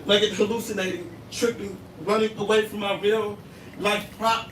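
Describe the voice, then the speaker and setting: A man's voice: sits high, flat delivery, normal speed. A man performing a spoken word poem at a slam, with a rhythmic, intense delivery full of wordplay, recorded live at an indoor venue. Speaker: slam poet. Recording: roomy, distant live venue sound with noise.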